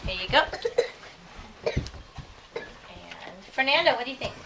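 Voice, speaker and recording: Bright, slow-speaking woman teaching a class. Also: a little high, animated. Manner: slow, deliberate repetition with encouraging endearments. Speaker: elementary school teacher. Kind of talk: classroom instruction, questioning pupils and repeating their answers. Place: classroom with children. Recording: distant mic, clearly audible room noise.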